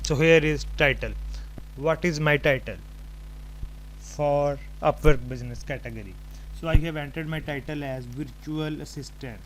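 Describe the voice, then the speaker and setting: Guy doing a step by step screen tutorial, kinda halting, thinks out loud, noisy home recording w keyboard typing. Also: on the high side. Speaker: tutorial presenter. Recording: home recording with clear background noise.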